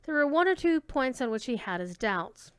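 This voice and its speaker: A woman reading old-fashioned literary prose aloud as a dramatic storyteller, with expressive, measured delivery. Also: high-pitched, clear, resonant tone, no room sound, clean studio sound. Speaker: reader giving a dramatic reading.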